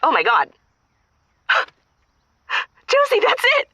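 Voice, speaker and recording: A woman playing an exasperated caller to an advice show, telling her relationship woes in a chatty, expressive way. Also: sits high, takes it slowly, no room sound, dull audio, full character voice. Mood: amazed, grateful. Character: exasperated, animated girlfriend phoning for relationship advice.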